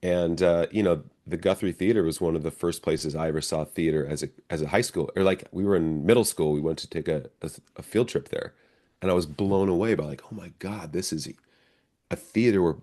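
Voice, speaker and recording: Middle aged guy w a really low voice, actor talking thru his craft in an interview. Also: a little fast, dark tone. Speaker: stage and screen actor, interview guest. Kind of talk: reflective, conversational interview answers.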